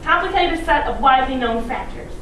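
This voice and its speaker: A woman's voice: sits high, expressive, a little fast, a bit bright. A woman performing readers theatre, telling a story with dramatic, emphatic delivery. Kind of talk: theatrical ensemble storytelling on stage. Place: large indoor performance space, far mic. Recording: echoey hall from far off, noisy.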